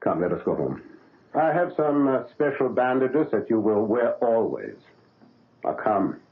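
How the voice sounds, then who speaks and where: Pushy doctor, low voice that swoops all over, tinny old radio sound. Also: male voice, sing-song, measured pace. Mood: insistent. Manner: coaxing, insistent, theatrical. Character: proud, paternal German surgeon, older man. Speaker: surgeon. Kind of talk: 1970s radio drama acting. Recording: narrow phone-like old radio broadcast.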